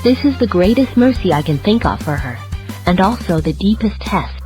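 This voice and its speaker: A woman's voice: medium pitch, expressive, moderate pace, warm tone, faint music behind, close to an everyday voice. A woman voicing a resolute, merciful goddess, speaking in solemn declarations in an audio fantasy drama. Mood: solemn resolve. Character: resolute merciful goddess passing judgement on a rival. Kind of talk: dramatic audio story dialogue.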